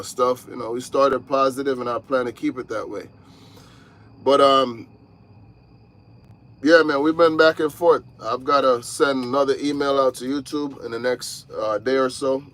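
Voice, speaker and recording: Laid-back trucker chatting to viewers. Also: male voice, medium pitch, a bit bright. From Jamaica. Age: 30s. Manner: laid-back, talks straight to viewers, calls them y'all. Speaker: truck driver vlogger. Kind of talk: casual livestream chat. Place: inside his parked truck cab.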